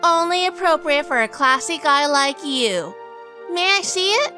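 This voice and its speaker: A shrewd noir heroine, played by a woman, speaks in a bright, highish voice. She is probing and suspicious, narrating a radio play over soft music in a dry recording. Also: animated, measured pace, full character voice. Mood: coy, probing. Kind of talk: noir audio drama narration and dialogue.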